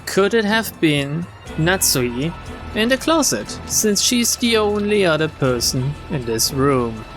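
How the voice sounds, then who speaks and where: A man's voice: on the high side, sing-song, measured pace. A man streaming a visual novel, reading the text aloud and adding wry, casual asides. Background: game music.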